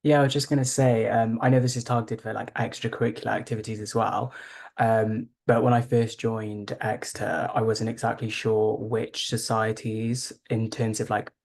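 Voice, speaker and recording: Gravelly flat-voiced young man. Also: fairly low pitch, normal speed. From Wales. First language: Welsh. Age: young adult. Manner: casual, many fillers. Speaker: student ambassador. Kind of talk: webinar panel answer.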